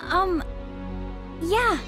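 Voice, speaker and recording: A woman's voice: extremely high pitch, extremely slow, full character voice. A woman voicing a kindhearted young girl in an anime game, sincere and a little hesitant. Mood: gentle, reflective. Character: earnest, kindhearted young artificially created girl. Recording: music behind the voice.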